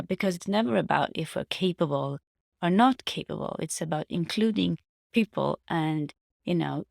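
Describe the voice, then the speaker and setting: A woman giving a casual, reflective personal monologue to viewers, halting as she searches for words and restates her phrases. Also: deep voice, gravelly, no room sound, studio quality. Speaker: vlogger sharing personal reflections.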